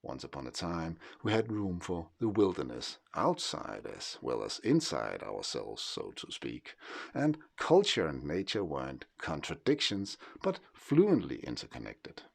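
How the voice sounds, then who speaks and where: A man with a Danish accent, speaking English as a second language, giving a measured, contemplative scripted narration. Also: sits low, wildly swooping pitch, creaky, breathy edge. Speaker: essayist narrator.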